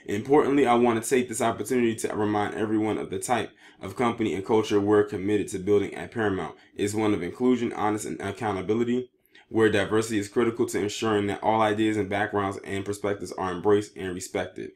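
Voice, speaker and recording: A man with a fairly low voice delivers online news commentary. He moves between reading written reports and speaking his own take, and he sometimes trips over words as he reads. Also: steady tone, fast, darkish tone.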